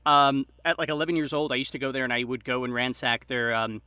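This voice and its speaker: Male guest, enthusiastic casual talker, rapid and halting, bright tone, dry sound. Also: mid-range voice, little pitch movement, clear, resonant tone, dry recording. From Long Island, New York, USA. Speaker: podcast guest, audio drama creator. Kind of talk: casual chat, reminiscing. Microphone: internet call.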